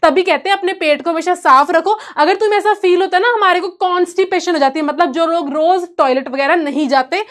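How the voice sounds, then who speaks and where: A woman's voice: extremely high pitch, fairly quick, few pauses, a bit bright. A woman with an Indian accent teaching an informal live online class, playful and teasing with students and switching between two languages. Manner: mixes Hindi and English.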